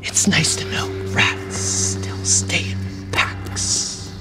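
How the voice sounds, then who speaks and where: A man voicing a menacing, taunting creature that guards its gold, in a hissing, breathy whisper, for a tabletop game. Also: sits low, lively intonation, voice pushed down, heavily acted. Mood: cold, scornful. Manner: slow, drawn-out, taunting delivery. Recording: dramatic music behind the voice.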